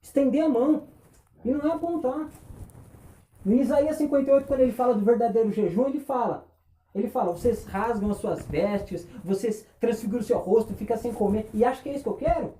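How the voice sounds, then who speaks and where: A man preaching a sermon, impassioned, firing rhetorical questions and dropping between loud and hushed. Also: very high-pitched, fairly quick, reverberant, poor audio. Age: 20s.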